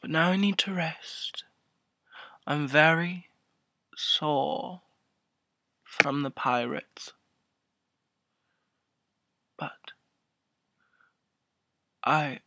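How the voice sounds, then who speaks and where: A man in his twenties telling a comic story to camera as a vlogger, halting and mock-emotional, swelling and hushing, close to a webcam mic in a small room. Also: on the high side, steady tone, no room sound. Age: 20s. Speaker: video blogger. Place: small furnished room indoors. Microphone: built-in webcam mic up close.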